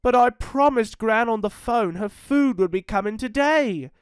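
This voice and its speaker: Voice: mid-range voice, animated, unhurried, clear, resonant tone, no room sound, clean studio sound, pitched above the performer's own voice, close to an everyday voice. A narrator doing a fretful, protective mum. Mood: worried. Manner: gentle, fretful. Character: anxious, caring mother.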